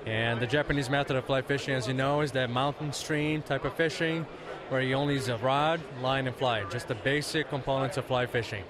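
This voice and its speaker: A man from Brazil, a company founder, explaining his product in a relaxed way with small pauses. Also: mid-range voice. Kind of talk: interview answers. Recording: busy crowd chatter behind.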